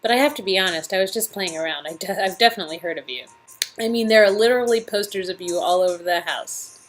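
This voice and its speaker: A woman's voice: mid-range voice, quick pace, close to an everyday voice, low-quality recording. Woman acting as sassy young woman, teasing, casual, playful, romance game dialogue, music behind. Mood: coy, teasing.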